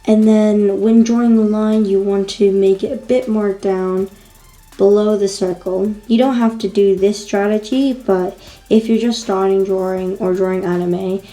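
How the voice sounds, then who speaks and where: Croaky woman host, very dark mellow tone, casual drawing tutor, faint music under. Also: mid-range voice, rough recording. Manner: chatty, repeats instructions with fillers. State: croaky, losing her voice.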